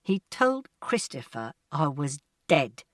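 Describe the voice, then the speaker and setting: A distraught mother, as an audiobook narrator acts her out, fiercely protective of her child. The delivery swings widely in pitch, with a dry sound. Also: very animated, takes it slowly, a bit bright, no room sound, far from the mic. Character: distraught, protective mother, tearful then furious. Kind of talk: audiobook character acting.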